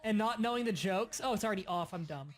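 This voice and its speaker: A young man hosting a casual gaming livestream, explaining game mechanics in quick bursts and sometimes stuttering. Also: sits high, animated. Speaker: livestream host. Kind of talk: casual gaming stream chat.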